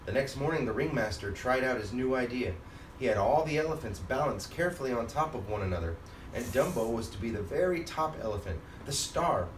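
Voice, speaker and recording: A man reading a children's storybook aloud as a father and host, in an expressive manner. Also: mid-range voice, a little fast. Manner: expressive, acts out character lines. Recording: roomy, distant mic, faint background noise.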